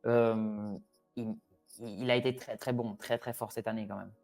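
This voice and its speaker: A man's voice: fairly low pitch, level tone, moderate pace, gravelly, a little mellow, faint music behind. A man with Porto roots giving his opinions in a casual football discussion, hesitant with many fillers and restarts. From Portugal (Porto roots). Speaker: expert guest on Portugal.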